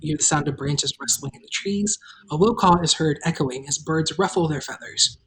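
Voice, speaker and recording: A woman's voice: deep voice, moderate pace, fairly bright tone, rough recording. A woman hosting and narrating a table read of her own script, informal and self-deprecating, moving between casual chat and scripted narration.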